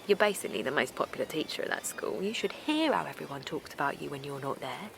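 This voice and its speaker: A woman's voice: deep voice, lively intonation, quick pace, gravelly, noisy background, exaggerated voice. An actress playing a supportive, anxious woman in a naturalistic audio drama, speaking earnestly and plainly. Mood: warm reassurance. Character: Linda, a supportive, anxious woman and loyal friend. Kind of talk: naturalistic audio drama acting, with dialogue and narration.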